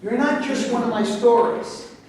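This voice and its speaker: A man's voice: very high-pitched, moderate pace, darkish tone. A man reading the part of an earnest, proud reporter in a staged play. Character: earnest, protective male news reporter, proud and persistent. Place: echoey room at a live staged reading. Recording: echoey room, far mic, faint noise.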